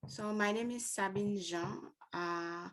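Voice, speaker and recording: A woman mental health counselor from Haiti introducing herself on an online panel, hesitant and pausing often, over a video call. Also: medium pitch. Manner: hesitant, with many pauses.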